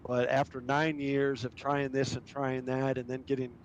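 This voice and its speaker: A man's voice: mid-range voice, flat delivery, normal speed, highs cut off, slight background noise. A middle-aged man who grew up in Germany tells a long personal story with enthusiasm, speaking casually to a virtual audience. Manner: enthusiastic, anecdotal. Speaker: guest speaker, adventurer and filmmaker.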